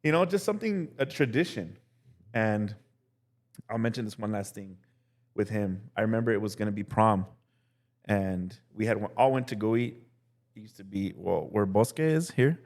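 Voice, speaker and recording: A young male teacher telling casual stories as a podcast guest, relaxed and full of "like" and "dude". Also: on the low side, moderate pace. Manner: relaxed, heavy use of "like" and "dude". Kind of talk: casual storytelling chat.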